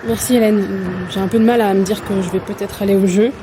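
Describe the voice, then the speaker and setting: A woman's voice: medium pitch, steady tone, fast talker, background noise, lightly acted. A woman playing an earnest, unsure young athlete in a French audio drama. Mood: hesitant. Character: earnest, unsure young female elite runner.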